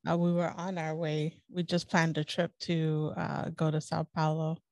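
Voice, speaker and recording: Curious woman from Ethiopia, lowish voice. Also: flat delivery, moderate pace, dry recording, studio quality. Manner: curious, asks questions. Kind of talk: casual interview.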